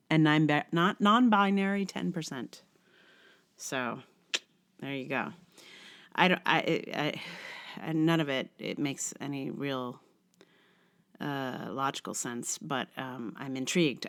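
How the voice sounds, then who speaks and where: A woman's voice: deep voice, smooth. A middle-aged woman hosting a solo podcast, musing in a wry, self-questioning way. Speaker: podcast host. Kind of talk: scripted essay reading, then casual rambling solo podcast talk.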